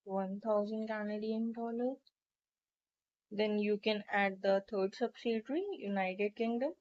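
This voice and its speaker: A woman narrating a step-by-step software tutorial in an instructional, explanatory way. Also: medium pitch, fairly even intonation, a little slow. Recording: dull, lo-fi microphone sound.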